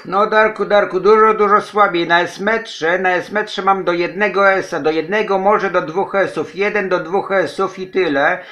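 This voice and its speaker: A man with a high, forceful voice speaks as an amateur radio operator in a casual contact. He repeats phrases for the other station as he goes. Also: fairly even intonation, a little slow, few pauses. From Poland. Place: indoors, radio desk in a flat.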